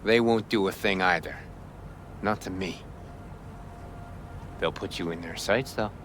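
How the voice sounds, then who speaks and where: A man's voice: low voice, measured pace, fairly bright tone, a little hiss or hum. A man playing a cynical, world-weary corrupt cop in a video game, with an American accent and scornful, lecturing street talk. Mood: dismissive.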